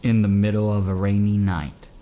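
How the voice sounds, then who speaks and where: A man's voice: fairly low pitch, flat delivery, normal speed, dark tone, slight background noise, lightly acted. An actor playing a weary, affable man driving at night in an audio drama, conversational, hesitant and wry. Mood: wry, friendly. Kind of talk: audio drama acting, narration and dialogue.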